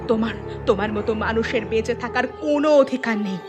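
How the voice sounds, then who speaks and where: A woman's voice: fairly high pitch, quick pace, over music, close to an everyday voice. A woman voicing an angry, bitter wife in a cartoon village drama, scolding and emphatic.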